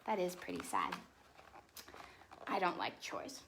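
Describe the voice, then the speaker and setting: A woman reading a children's storybook in an expressive, animated way, with explanatory asides to young listeners. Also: fairly low pitch, slow, slight background noise.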